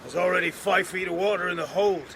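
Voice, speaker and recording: Man as creaky, high-pitched gruff seaman. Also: quick pace, noisy background. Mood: grim, fatalistic. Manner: blunt, gruff. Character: gruff, fatalistic seafaring man of period coast. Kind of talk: period audio drama acting.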